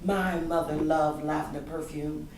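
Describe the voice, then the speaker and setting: Woman acting a wounded grieving daughter, dramatic monologue, lots of heavy pauses, echoey room, mic kinda far off. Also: low-pitched, steady tone. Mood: wistful. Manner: emotional, deliberate storytelling with dramatic pauses. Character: grieving grown daughter recalling her mother's death, wounded and yearning. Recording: echoey room, distant mic, faint noise.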